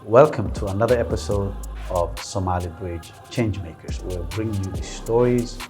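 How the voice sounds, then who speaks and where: A man hosting a community interview show, welcoming his guest and asking halting, encouraging questions. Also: fairly low pitch, a little mellow, faint music behind. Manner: affirming, frequent backchannels, halting questions. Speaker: host. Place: studio.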